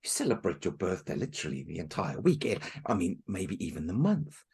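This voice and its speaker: Brief: male storyteller, lively sing-song delivery, gravelly and a bit husky, chatty asides. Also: deep voice, fairly quick. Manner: animated, chatty asides to listeners. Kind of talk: folk tale storytelling.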